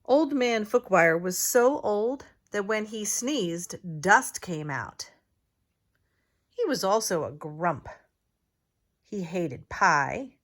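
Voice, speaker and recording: A woman reading a children's storybook aloud in a deliberate, expressive teacher's manner. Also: medium pitch.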